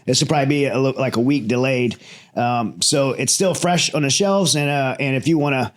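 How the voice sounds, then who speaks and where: Upbeat male podcast host, mid pitch. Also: fairly quick. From Louisiana. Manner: energetic, friendly, many fillers and restarts. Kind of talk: casual interview hosting. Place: home studio in Texas.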